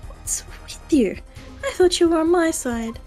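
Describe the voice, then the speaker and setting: A woman's voice: extremely high pitch, lively intonation, measured pace, dark tone, faint music behind, voice pushed up. A woman voicing a sly, haughty young woman witness, flippant and dismissive, in a fan dub of a courtroom game. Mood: defensive.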